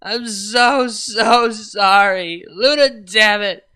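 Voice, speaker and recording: A man's voice: high voice, a little slow, vocal fry, bright, forceful tone, smooth, voice pushed up, heavily acted. A man voicing a drunk, weepy young mare pony who works as a DJ, slurring and wailing in an overwrought way. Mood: sobbing drunk.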